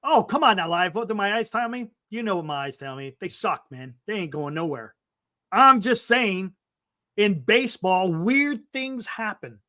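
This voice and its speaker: A man's voice: high-pitched, animated, moderate pace, sharp tone, no echo, clean studio sound. A man in his fifties hosting a casual sports talk stream, speaking emphatically and repeating his points. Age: 50s. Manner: emphatic, repeats himself, calls people "man". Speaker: sports talk show host.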